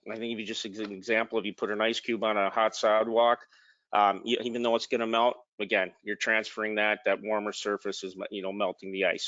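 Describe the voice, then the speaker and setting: A man's voice: medium pitch, fairly bright tone, dry recording, dull audio. A man presenting a technical slide talk in an informal way, with frequent ums and restarted words. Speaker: product manager presenting.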